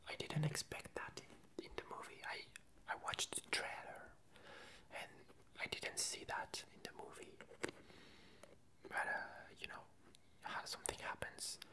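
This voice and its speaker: A woman whispering a casual ASMR chat in a breathy, halting way with long pauses. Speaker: ASMR video creator.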